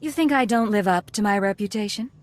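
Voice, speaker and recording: Female Imperial spy voiced by an actress, medium pitch, controlled, questioning, terse game dialogue. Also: a little fast, lightly acted. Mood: assured. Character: cool, probing female Imperial secret agent. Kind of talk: video game dialogue acting.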